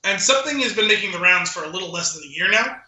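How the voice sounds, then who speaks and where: A man's voice: high-pitched, animated, racing, sharp tone, reverberant, poor audio. A man co-hosting a podcast with an amused manner, in casual talk and reading aloud. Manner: amused, often breaking into laughter while reading. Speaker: podcast co-host. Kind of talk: casual chat with dramatic poem reading.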